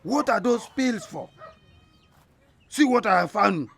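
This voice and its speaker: Angry boastful husband in a radio play, sharp forceful gravelly voice, blusters and stammers. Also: male voice, sits high, expressive, takes it slowly, exaggerated voice. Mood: furious, threatening. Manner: blustering, stammering when worked up. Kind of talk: radio drama acting.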